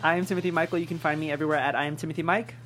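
A man's voice: fairly high pitch, fairly even intonation, racing, a bit bright, faint music behind. A man chatting casually and eagerly as a panelist. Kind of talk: casual chat. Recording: faint background hum.

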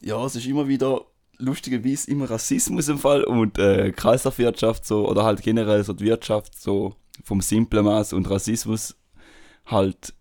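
Young swiss german guy on a podcast, lowish voice, laid-back slangy storytelling with lots of ums. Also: moderate pace. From Switzerland. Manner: relaxed slangy storytelling with frequent fillers. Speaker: podcast host. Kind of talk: casual chat.

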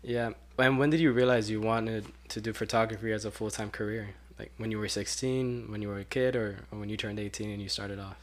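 A man's voice: fairly low pitch, fairly even intonation, a little fast, hardly any pauses. A man hosting a casual podcast interview, asking curious questions with hesitant fillers. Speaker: podcast host.

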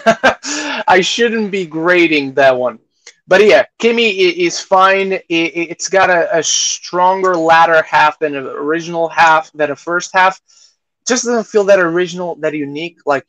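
A man hosting a podcast, chatting casually through film reviews, haltingly repeating phrases and acting out imagined reactions. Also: a little high, fairly bright tone, no room sound, poor audio. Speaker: podcast host reviewing films.

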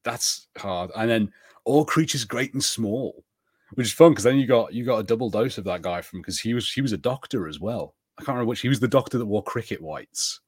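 A man with a low voice chats casually as a podcast co-host. He rambles, full of fillers and restarts. The recording is dry and close. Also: animated, brisk, breathy edge, no echo. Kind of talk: casual chat.

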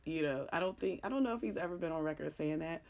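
Deep-voiced raspy woman, middle-aged sports talk host, hoarse, frank and rambling. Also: quick pace, a little mellow. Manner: frank, joking, rambling, with mild cursing. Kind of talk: casual sports talk monologue.

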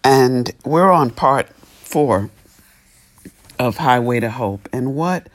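A man preaching a sermon as pastor, sounding a little sniffly, and speaking in deliberate, emphatic phrases broken up by pauses. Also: mid-range voice, lively intonation.

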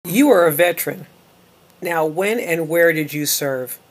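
Give me a man hosting a podcast interview with a slightly high-pitched voice. He keeps his questions brief and direct. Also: measured pace. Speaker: podcast host.

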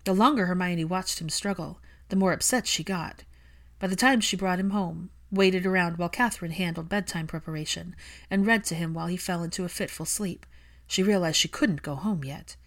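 A woman's voice: fairly low pitch, fairly quick, fairly bright tone. A woman reading scripted fiction aloud as an audiobook narrator.

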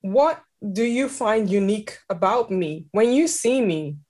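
Woman on a panel, earnest n emphatic, keeps restarting sentences, middle pitch. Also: animated, unhurried, darkish tone. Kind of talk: casual panel discussion.

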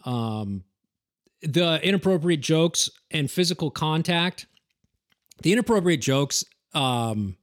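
A man hosting a casual interview, talking informally and haltingly as he thinks aloud. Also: fairly high pitch, animated. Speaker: podcast host, former wildland firefighter.

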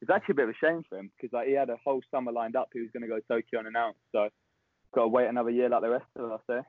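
A young man with a Southern English accent, a podcast guest chatting quickly with dry humour. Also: medium pitch, steady tone, dry recording. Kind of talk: casual interview chat. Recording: tinny phone-like call line, dry.

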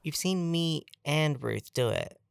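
A woman's voice: sits low, expressive, slow pace, gravelly, clean tone, full character voice. A woman playing a blunt, sardonic and confident traveling companion in a fantasy audio drama. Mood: relaxed, teasing. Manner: blunt, needling. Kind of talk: naturalistic audio drama acting.

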